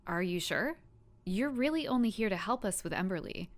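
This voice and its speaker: A woman's voice: medium pitch, lively intonation, normal speed, natural voice. A woman playing a bossy but caring heroine in an audio drama, matter-of-fact and dry in manner. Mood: blunt concern.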